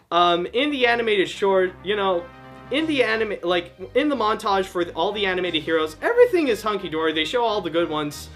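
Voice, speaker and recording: A man's voice: high voice, fairly bright tone. A man in an unscripted, indignant rant, stammering and repeating himself. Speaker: animation reviewer. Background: background music.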